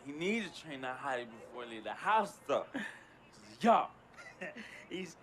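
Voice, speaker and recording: A man's voice: mid-range voice, sing-song, measured pace, fairly bright tone, a little hiss or hum. An actor playing a cocky, flashy young male hanger-on in a video game cutscene, boastful and slangy, laughing through his lines.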